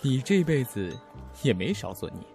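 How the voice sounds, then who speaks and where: A man plays a young confidant in an audio drama, teasing with a wistful streak. His mid-pitched voice moves in a lively, expressive way. Music is clearly heard behind it. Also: normal speed. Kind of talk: amateur audio drama acting.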